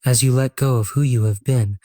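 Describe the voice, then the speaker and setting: A man narrating a scripted guided sleep meditation in a solemn, measured, earnest way. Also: mid-range voice, fairly even intonation, mellow, no echo, clean studio sound.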